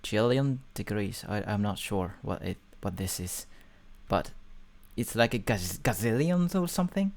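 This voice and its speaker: Unhurried speaker. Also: mid-range voice, lively intonation, vocal fry, a little mellow, a little hiss or hum. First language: Japanese. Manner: hesitant, halting, with repeated words and fillers. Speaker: video reactor. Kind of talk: casual reaction commentary.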